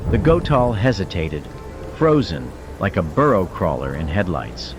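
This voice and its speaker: Very deep male narrator over music. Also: big pitch swings, unhurried. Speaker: audiobook narrator. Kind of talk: dramatic scripted fiction narration.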